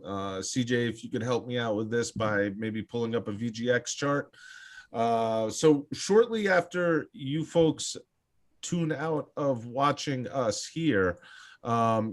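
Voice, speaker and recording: A man hosting a live crypto market show, speaking haltingly with frequent pauses. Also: medium pitch. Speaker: show host. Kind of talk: livestream crypto market talk.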